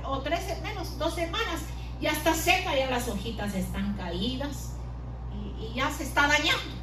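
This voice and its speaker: Middle-aged woman preacher, homely sermon style, room echo and background noise. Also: high-pitched. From Guatemala. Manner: homely, uses diminutives and everyday examples. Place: church hall. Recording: echoey room from a distance, noisy.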